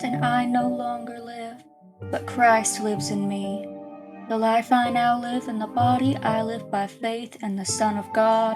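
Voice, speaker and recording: A stern schoolteacher played by a woman in an audio drama, fairly high-voiced and slow. She is measured and didactic, with music clearly playing behind her. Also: close to an everyday voice. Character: stern, philosophical woman schoolteacher with bleak views.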